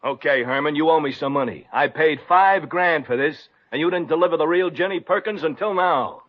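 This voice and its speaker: An actor playing a gruff, suspicious male manager in a 1950s radio comedy, blunt and demanding. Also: fairly high pitch, brisk, natural voice. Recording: dull vintage radio recording.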